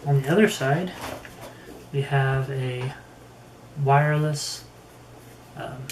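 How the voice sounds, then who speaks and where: A man talks through a casual product review in an even, level voice at middling pitch. He is unhurried and halting, working out his thoughts as he speaks. The room echoes around him, with faint noise behind. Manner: unhurried, halting, thinking aloud. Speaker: gear reviewer. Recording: roomy echo with faint background noise.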